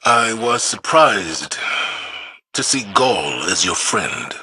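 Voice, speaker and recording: Deep, troubled, brooding soldier. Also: male voice, very animated, takes it slowly, sharp tone, husky, heavily acted, low-quality recording. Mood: uneasy. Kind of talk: dramatic video game voice acting.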